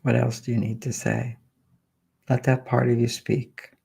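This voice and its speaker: A man leading a guided healing meditation, speaking slowly and softly with long pauses. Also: mid-range voice, little pitch movement, gravelly, slightly rough. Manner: slow, soft, soothing delivery with long pauses.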